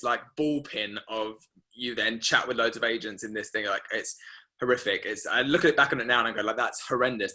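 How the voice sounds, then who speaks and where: A young man hosting a class, telling a rambling story full of fillers and lively quoted asides. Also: mid-range voice. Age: young adult. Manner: rambling, filler-heavy, animated retelling. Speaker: masterclass host. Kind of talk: casual storytelling to an online class.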